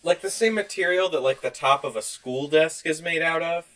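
A man's voice: a little high, normal speed, a bit bright, low-quality recording. A man co-hosting a podcast in casual, joking banter, swearing now and then. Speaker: podcast co-host.

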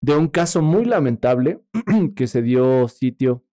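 Mellow-voiced Mexican man, crude joker. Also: mid-range voice, lively intonation, takes it slowly, no echo, studio quality. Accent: Mexican Spanish. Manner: crude joking, laughs often, slangy. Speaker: podcast co-host. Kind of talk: casual comedic political chat.

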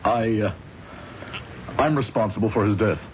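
An actor playing a troubled, earnest man with a halting, solemn, insistent way of talking, in old-time radio drama style. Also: fairly low pitch, lightly acted. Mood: tense, hesitant. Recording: dull lo-fi old radio recording, faint noise.

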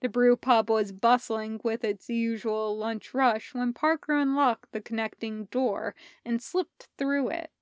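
Slow-paced, higher-voiced woman reading a story. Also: fairly even intonation, smooth, no echo, studio quality. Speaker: podfic reader. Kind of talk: scripted narration of fan fiction with acted dialogue.

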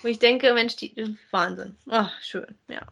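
A woman's voice: on the high side, a little slow, creaky, fairly bright tone. A woman, chatting casually as a podcast co-host about audio dramas.

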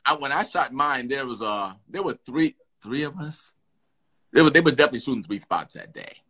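A middle-aged man talks in a bright, forward voice. He tells his stories with lively energy, restarting his sentences as he goes, like a guest sharing anecdotes in an interview. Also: mid-range voice, fairly quick. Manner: animated, lively storyteller with restarts. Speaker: guest actor. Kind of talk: storytelling in an interview.

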